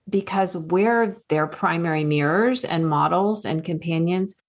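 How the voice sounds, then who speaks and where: Soft-spoken woman, lively storyteller. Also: fairly low pitch, a little slow. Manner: soft-spoken, animated storytelling with acted asides. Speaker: guest coach. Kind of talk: casual podcast conversation.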